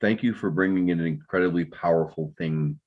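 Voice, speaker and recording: A man giving halting, heartfelt thanks after a table read, on a dull, muffled microphone. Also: deep voice.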